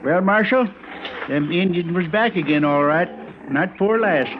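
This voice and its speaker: An actor playing a nervous, simple homesteader in a radio western, with a rural American accent and a hesitant, stammering, deferential delivery. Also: male voice, high voice, unhurried. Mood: anxious. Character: nervous, simple frontier homesteader, frightened of Indians. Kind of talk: old-time radio western acting. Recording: muffled, tinny old radio recording, faint noise.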